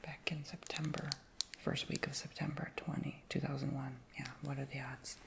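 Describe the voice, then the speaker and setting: A woman whispering casually in a show-and-tell video, rambling with lots of fillers and slowly reading out labels. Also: very deep voice, level tone, noisy background. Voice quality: soft whisper with creaky edges. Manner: reads names and titles slowly.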